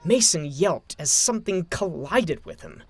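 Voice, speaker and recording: A man's voice: fairly high pitch, animated, measured pace, husky, no echo. A man narrating a scripted story as an audio drama narrator.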